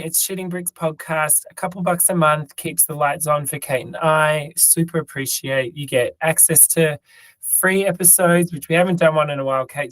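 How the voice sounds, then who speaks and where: A hoarse man co-hosts a casual podcast chat, his voice raspy and tired from overuse. He rambles and jokes, stumbling through restarts and fillers. The sound is close and dry, with no room around it. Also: fairly high pitch, a bit bright, no room sound, poor audio. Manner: rambling, joking, lots of ums and restarts. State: hoarse, lost voice. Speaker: podcast co-host.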